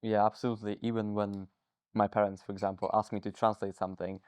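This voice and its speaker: A teenage boy speaking in a measured, articulate way as a student guest in a small studio. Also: on the low side, little pitch movement, darkish tone, clean tone, no room sound. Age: teens. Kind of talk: casual interview chat. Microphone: lapel mic.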